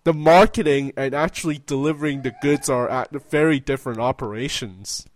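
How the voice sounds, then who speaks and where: A man in a casual political discussion as an interview guest, speaking haltingly and restarting phrases as he builds his point. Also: medium pitch.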